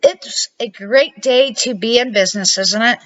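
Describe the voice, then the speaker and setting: A woman's voice: a little high, normal speed, a bit bright, natural voice. An older woman voicing a cheerful, friendly neighbor man in a children's storybook. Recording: dull lo-fi home recording.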